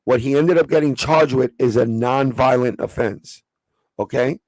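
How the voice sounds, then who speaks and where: A man with a raspy voice, hosting a casual livestream and breaking things down step by step in a streetwise, profane way. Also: medium pitch, normal speed, darkish tone, no echo, poor audio. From New York. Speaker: livestream host.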